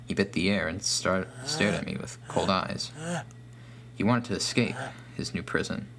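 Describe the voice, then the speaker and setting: A man's voice: low voice, moderate pace, crowd noise. A man reading a scripted first-person horror story as its narrator.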